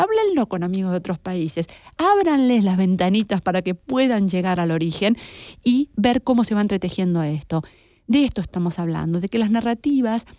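An Argentine woman speaks in a soft-edged, mellow voice at a middling pitch. She comments in a reflective, explanatory way, like a lecturer hosting a talk podcast. The sound is dry, with no room around it. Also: dry recording. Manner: explanatory, addresses listeners directly. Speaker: podcast host and university lecturer.

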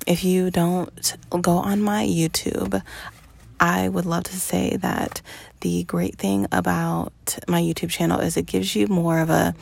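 Young woman with a gravelly, husky voice. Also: fairly low pitch, darkish tone. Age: 20s. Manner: slow, earnest, with pauses and fillers. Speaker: podcast host. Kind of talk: personal reflective monologue.

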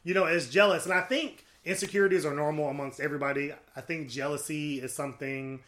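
A man's voice: on the high side, steady tone, fast talker, a bit bright. A man co-hosting a casual podcast chat, playful and candid, laughing easily. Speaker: podcast co-host.

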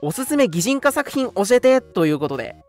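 An animated radio host of ambiguous sex talks in a polite, casual way with music underneath. The intonation moves around freely as segments are introduced conversationally. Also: mid-range voice, brisk. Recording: music playing behind the voice. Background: background music.

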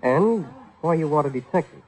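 An actor playing a cool, hard-boiled private detective, dry and wisecracking, in a mid-century radio drama. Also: male voice, a little high, animated, moderate pace, breathy edge, rough recording. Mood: cool, sceptical.

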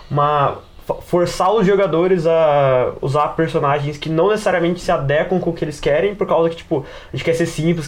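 A man with a Brazilian accent hosting a casual podcast about tabletop games, explaining at length with slangy asides. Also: fairly high pitch. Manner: wordy, explanatory, peppers talk with slang and tags. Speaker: podcast host and game master.

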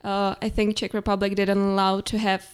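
A woman's voice: mid-range voice, completely flat delivery, brisk, clean tone. A woman in her twenties from Ukraine, a podcast guest talking casually and hesitantly, with many fillers and frequent laughter. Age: 20s. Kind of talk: casual interview chat.

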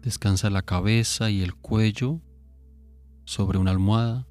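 A man narrating a guided sleep meditation in a calm, soothing manner, giving unhurried instructions with long pauses. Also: on the low side, soft background music.

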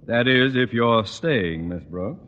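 A man's voice: mid-range voice, animated, slow pace, dull audio. An actor playing a pompous, irritable middle-aged father, blustery and wordy, in old radio comedy style. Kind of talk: 1940s-50s radio comedy acting. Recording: old radio recording, reverberant, faint noise.

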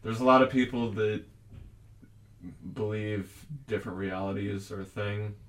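A man's voice: lowish voice, fairly even intonation, unhurried, far from the mic. A young man in casual conversation, rambling and arguing his points with plenty of profanity. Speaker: podcast host. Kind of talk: casual chat.

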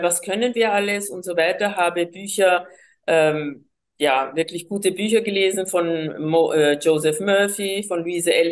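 Woman from colombia, slightly low pitch, hesitant with fillers, interview guest. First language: Spanish. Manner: hesitant, many fillers and restarts. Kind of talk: personal storytelling in an interview.

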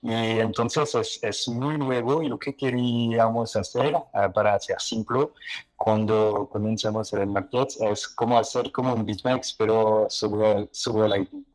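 Male guest, slow and hesitant, low voice, lots of ums. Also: fairly even intonation, darkish tone, poor audio. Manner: hesitant, many filled pauses. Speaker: exchange founder, guest. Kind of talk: casual interview talk.

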